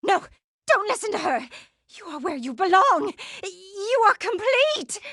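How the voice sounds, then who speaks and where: A very high, sharp cartoon villainess, possessive and shrill. She stretches her words with big swings in pitch. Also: very animated, unhurried, no room sound, clean studio sound, full character voice. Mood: commanding, urgent. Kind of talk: animated game voice acting.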